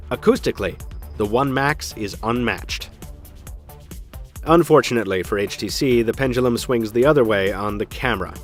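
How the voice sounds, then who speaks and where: A man with a somewhat low voice reads a scripted gadget review as the host. He leans on key words for emphasis and drops in dry, wry asides. Faint music plays underneath. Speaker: tech reviewer, show host. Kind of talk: scripted product review narration.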